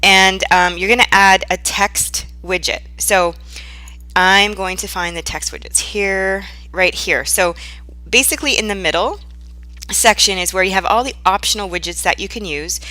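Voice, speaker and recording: A woman with a bright, forceful voice leads a casual software walkthrough. She sounds conversational and unscripted, checking in with the listener as she goes. The recording is dry and close, with very little room sound. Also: medium pitch, no echo. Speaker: tutorial presenter. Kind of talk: casual screen-share software tutorial.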